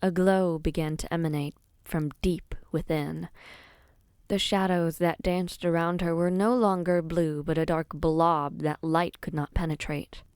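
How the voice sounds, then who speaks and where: A woman's voice: lowish voice, level tone, measured pace, a little mellow, smooth, no room sound, studio quality. A woman reading scripted dramatic story narration as the narrator of an audio roleplay.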